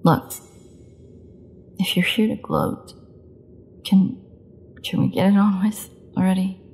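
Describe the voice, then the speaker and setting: A woman playing a defiant captive vampire in an audio drama, snarky, mocking and bitterly flippant, with a gravelly voice. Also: mid-range voice, flat delivery, slow pace, darkish tone, slight background noise, lightly acted. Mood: bitter sarcasm. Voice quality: gravelly, creaky voice. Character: defiant, sarcastic captive female vampire under interrogation. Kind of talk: dramatic audio roleplay monologue.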